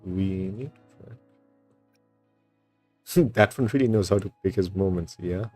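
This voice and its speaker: A man gives casual game playthrough commentary as a streamer. His voice is very low and dark. Game music plays softly behind him. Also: a little slow, dry recording.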